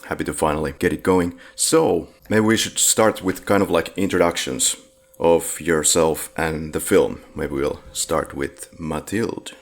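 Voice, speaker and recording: A man's voice: extremely low pitch, steady tone, a little slow, vocal fry. A man hosting a film podcast, upbeat and joking as he introduces his guests. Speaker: podcast host.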